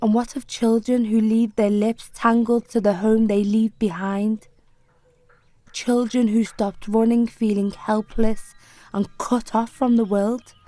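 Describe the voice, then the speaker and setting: A woman poet giving a slow, measured, solemn spoken-word poetry reading. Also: a little high, level tone, warm tone, no echo.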